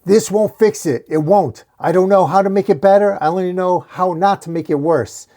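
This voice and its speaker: A man's voice: mid-range voice, steady tone, moderate pace, a little mellow, lightly acted. A man voicing an earnest, weary priest who speaks with urgent concern in a comic book story. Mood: desperate pleading. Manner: pleading, urgent. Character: earnest pleading male priest, gentle and weary.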